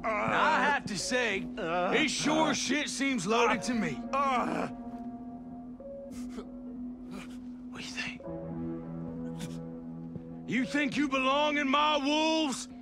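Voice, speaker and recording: Gravelly, menacing military commander. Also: male voice, very high voice, animated, slow, long pauses, over music, exaggerated voice. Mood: threatening. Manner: sardonic, profane, swinging from hushed to shouting. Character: menacing military commander berating his drunken elite soldiers. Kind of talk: cinematic video game acting.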